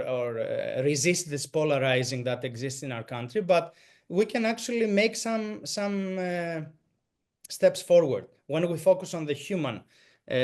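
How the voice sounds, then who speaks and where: Greek-accented male presenter, slightly high pitch, halting delivery with frequent restarts. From Greek American. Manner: halting, many restarts and fillers. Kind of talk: answering questions in a talk.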